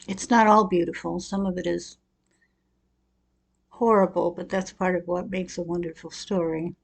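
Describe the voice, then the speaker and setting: A middle-aged woman giving a prepared personal talk as a storyteller, with deliberate, expressive and reflective delivery. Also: lowish voice, gravelly. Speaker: speaker telling her own life story.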